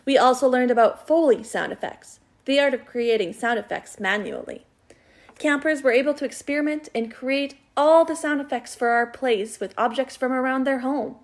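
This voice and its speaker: A woman with a high voice reads a scripted introduction as the presenter of a radio play show. The delivery is measured and deliberate. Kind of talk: scripted narration.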